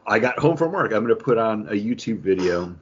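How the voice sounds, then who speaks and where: A man's voice: on the low side, expressive, brisk, muffled recording, a little hiss or hum. A man co-hosting a casual gaming chat, explaining things in detail with plenty of slang. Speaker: podcast co-host.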